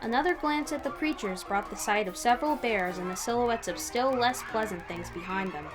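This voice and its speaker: A woman reading fan fiction aloud as an expressive third-person narrator with dramatic pauses. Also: a little high. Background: background music.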